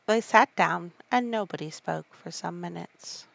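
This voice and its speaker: A woman's voice: lowish voice, unhurried, creaky, dull audio. A drowsy woman reading a children's classic aloud as a bedtime story, drifting toward sleep.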